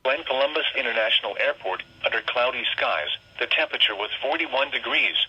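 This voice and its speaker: A man's voice: mid-range voice, lively intonation, fairly quick, vocal fry. A synthetic American male voice reading a scripted weather bulletin, played through a radio speaker. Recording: dull, noisy weather radio speaker playback.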